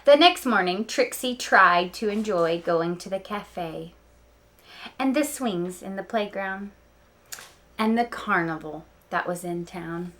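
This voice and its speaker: A woman reading a picture book aloud to young listeners, expressive and speaking to them directly with small asides. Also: mid-range voice, takes it slowly, a little hiss or hum. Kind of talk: children's read-aloud storytelling with asides.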